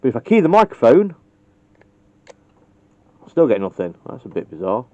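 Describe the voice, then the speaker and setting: A man talks through a hands-on wiring job in a loose, thinking-aloud way, poking fun at himself. His voice is dark and relaxed at an ordinary pitch. The recording is dull and lo-fi. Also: normal speed. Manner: casual, thinking aloud, self-deprecating. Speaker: CB radio technician. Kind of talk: hands-on how-to demonstration.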